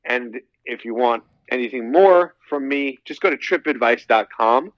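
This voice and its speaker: A man's voice: mid-range voice, moderate pace, a bit bright, dry recording. A man in his thirties, a podcast guest talking casually and at length, often repeating his phrases as he rambles. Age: 30s. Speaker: podcast guest, dating coach. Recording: dull, slightly lo-fi, dry sound.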